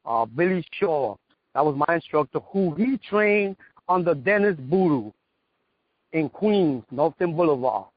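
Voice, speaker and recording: A man in his sixties with a New York accent, reminiscing casually in a halting way and repeating phrases, on dull, dry call audio. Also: fairly high pitch, expressive, dry recording. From New York, United States. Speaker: interview guest, veteran martial artist.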